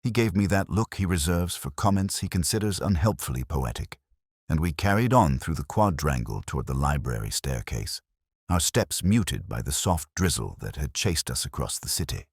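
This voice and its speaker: A man acts out a brooding police inspector with an extremely deep voice that rises and falls expressively. He narrates in deliberate, literary phrases, weary and watchful, as in a detective radio play. The sound is dry, with no room around it. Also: expressive, husky, no echo, exaggerated voice. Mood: brooding, contemplative. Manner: measured, contemplative, deliberate phrasing.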